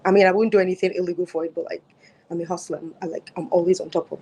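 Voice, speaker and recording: Soft-spoken Nigerian woman in her thirties. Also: lowish voice, steady tone, very fast, a little hiss or hum. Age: 30s. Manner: soft-spoken, many fillers and restarts. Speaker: guest on a dating show. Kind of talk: casual interview answers. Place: home, mid-move. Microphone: phone.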